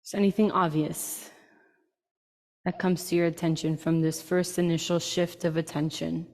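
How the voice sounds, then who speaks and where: A woman leading a guided meditation in a slow, soothing style, giving unhurried instructions in short phrases with long pauses. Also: low voice, level tone, a little mellow. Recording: long reverberant tail.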